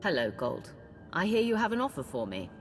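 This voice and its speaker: A low woman's voice with lively inflection over soft music. a decisive game commander, cool, composed and direct. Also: a little fast. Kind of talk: video game cutscene acting.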